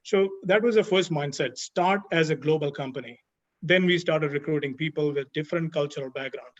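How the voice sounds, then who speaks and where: A middle-aged man speaks on a webinar panel over a video call, his voice sitting a little high for a man. He explains at length in a steady way, leaning on "you know" as he goes. The sound is dull and muffled. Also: fairly quick.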